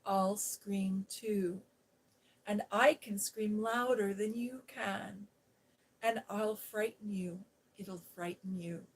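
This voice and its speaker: A woman voicing a fierce, bossy little girl who shouts and scolds. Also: medium pitch, steady tone, slow pace, few pauses, slight background noise, lightly acted, low-quality recording. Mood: threatening. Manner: shouting, commanding.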